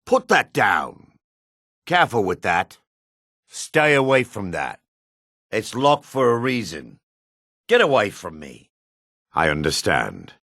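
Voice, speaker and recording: Suspicious dark elf man, fantasy game character, gravelly, slow-paced, curt, sneering, middle-aged. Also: lowish voice, huge pitch swings, dry recording, studio quality, full character voice. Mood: wary warning. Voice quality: gravelly, raspy. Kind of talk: video game character barks.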